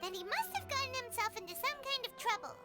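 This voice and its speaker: A squeaky little fairy companion for a video game, full of curiosity and cheer. The pitch is extremely high and leaps about wildly, over prominent game music. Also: female voice, very animated, fast talker. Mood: scolding. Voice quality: squeaky, childlike. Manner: exclamatory, inquisitive.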